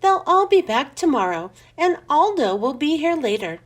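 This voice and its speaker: A little storybook hamster acted out by a woman reader, friendly and keen to please. The voice is high and very lively, with polite, slightly formal, earnest phrasing. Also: moderate pace. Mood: reassuring. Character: small male pet hamster, friendly, polite, eager.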